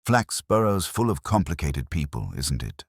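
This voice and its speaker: A man's voice: extremely low pitch, sing-song, normal speed, creaky, no room sound, clean studio sound, exaggerated voice. A man voicing a puzzled, deferential police sergeant in a detective audiobook, hesitant and questioning. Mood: musing, uncertain. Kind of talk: dramatised detective story dialogue.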